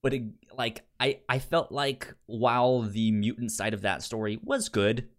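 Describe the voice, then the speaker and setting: Man with a halting, stammering delivery. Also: medium pitch, steady tone. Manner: halting, stammering, repeats syllables and word starts. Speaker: podcast co-host reviewing an audio drama. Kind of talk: casual discussion.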